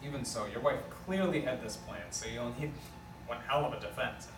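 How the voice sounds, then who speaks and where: A man's voice: medium pitch, fairly quick, natural voice. A man playing a cautious, skeptical small-time lawyer in a table read, hesitant and questioning. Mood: reluctant. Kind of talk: amateur script table read. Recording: echoey room, distant mic, noisy.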